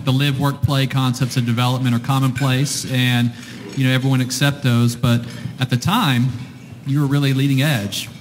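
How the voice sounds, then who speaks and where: A man's voice: mid-range voice, a little hiss or hum. A man in his forties hosting an onstage interview at a luncheon, asking friendly, upbeat questions into a handheld mic. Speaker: host, interviewer. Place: large hotel ballroom luncheon on stage. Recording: roomy ballroom reverb, dish clatter. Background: clinking cutlery and dishes.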